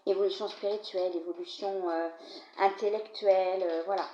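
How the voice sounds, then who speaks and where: A woman's voice: steady tone, normal speed, dark tone, slight background noise. French woman with a cold, reading cards. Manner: conversational, addresses viewer directly with frequent "d'accord?". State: has a cold, coughing. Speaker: card reader. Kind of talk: tarot-style card reading to camera. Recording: low-volume, dull, roomy home recording.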